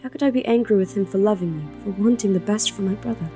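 Woman acting as a young princess, grieving, fiery, protective sister, audio drama, medium-pitched voice, music behind. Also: quick pace, mellow, close to an everyday voice. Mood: angry, hurt. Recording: music under the voice.

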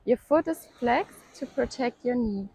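A woman leading a home yoga workout, calmly cueing breaths and poses and encouraging the listener to keep going. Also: a little high, a little slow, gravelly, slightly rough, poor audio. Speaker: yoga instructor. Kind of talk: guided workout instruction.

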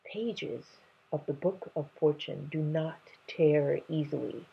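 Woman reading as a solemn, husky old man. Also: sits low, slow pace, dull audio, full character voice. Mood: stern. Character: grim, solemn old man, mystical moon keeper.

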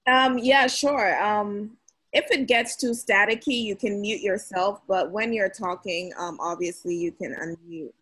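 A young woman from Ghana hosting an online meeting in a relaxed, chatty way with many fillers, heard over a video call. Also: medium pitch, measured pace, breathy edge. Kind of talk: casual meeting talk.

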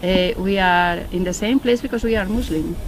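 A middle-aged native Spanish speaker, a woman with a Spanish accent, speaking halting English in a casual group interview and searching for words. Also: mid-range voice, background noise. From Spain.

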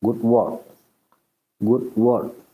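A man in his thirties with an Indonesian accent, hosting a casual livestream from a small room at home, chatting to viewers in halting, simple English mixed with Indonesian and laughing often. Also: mid-range voice, mellow, poor audio. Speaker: livestream host caring for a baby monkey. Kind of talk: casual livestream chat reading viewer comments. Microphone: phone camera at arm's length.

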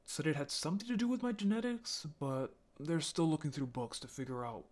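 A man playing a lonely, apologetic young male zombie in an audio roleplay, speaking in a halting, intimate way. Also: on the high side, a little mellow, natural voice. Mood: explaining, subdued. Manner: halting, stammering, sighing.

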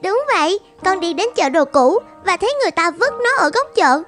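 A devoted girl of fifteen from an animated film. The voice is very high and polite, with earnest determination. Music plays softly behind it. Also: brisk, heavily acted. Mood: eager. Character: devoted, determined fifteen-year-old girl, gentle daughter.

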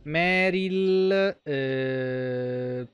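A man's voice: medium pitch, extremely slow, clear, resonant tone, dry recording. A man in his thirties giving casual, joking, foul-mouthed live commentary on a game. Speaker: game streamer. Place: small indoor streaming room at home. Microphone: close streaming microphone.

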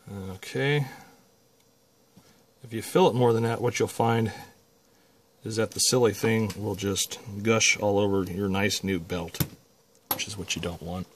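Low-voiced man giving a casual repair demonstration. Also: a little hiss or hum. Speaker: repair tutorial presenter. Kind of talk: casual unscripted hands-on demonstration. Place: indoor electronics workshop. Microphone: handheld phone camera.

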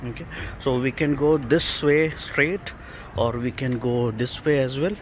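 A man giving casual, halting walking tour commentary as a travel vlogger, in simple phrases. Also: medium pitch, slight background noise. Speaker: travel vlogger guiding a town walk. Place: outdoors on town centre streets.

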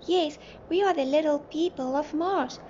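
A woman's voice: high-pitched, unhurried, darkish tone, pitched above the performer's own voice. A woman voicing welcoming little Martian people in a children's storybook, announcing in a friendly way. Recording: dull lo-fi, faint background noise.

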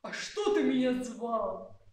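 A woman's voice: a little high, lively intonation, takes it slowly, darkish tone. A woman voicing an offhand, blunt male butcher in an amateur puppet play. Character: casual, refusing male butcher. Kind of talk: amateur puppet theatre acting. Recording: very echoey room, distant mic.

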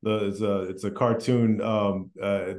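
Middle-aged Midwestern American man, low voice, halting with fillers, webcam in an echoey bare room. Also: little pitch movement, a little mellow. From Minneapolis, United States. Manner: halting, many fillers and restarts. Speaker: PhD student, panel attendee. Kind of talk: casual spoken comment on a video call. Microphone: video call webcam.

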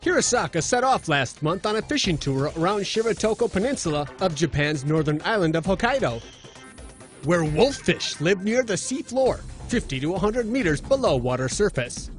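A man's voice: high voice, big pitch swings, normal speed, soft background music. A man reading scripted narration for short viral news stories.

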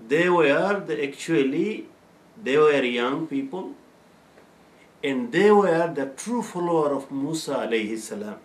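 Slow-speaking older man teaching, echoey room. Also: on the high side, expressive. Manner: explains verses slowly, chanting Arabic recitation between. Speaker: Islamic teacher. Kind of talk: religious lesson with Quran recitation. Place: plain room with a bare wall.

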